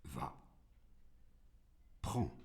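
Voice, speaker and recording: A man voicing a calm, almighty God in a poem, speaking in terse, hushed, grave replies. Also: extremely slow. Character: God, calm all-powerful male supreme being.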